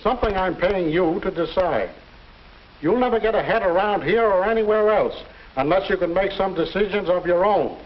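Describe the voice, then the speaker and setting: High-pitched, exasperated boss played by a man. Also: normal speed, lightly acted. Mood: exasperated scolding. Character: exasperated, impatient male boss scolding an employee. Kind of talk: 1950s instructional film acting. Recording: muffled, hissy old film soundtrack.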